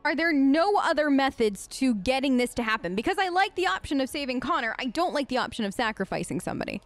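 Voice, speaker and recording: A woman's voice: sits high, sing-song, fast talker, dry recording, faint music behind. A woman playing through a role-play game, voicing her choices in a casual, chatty and informal way. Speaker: let's play streamer.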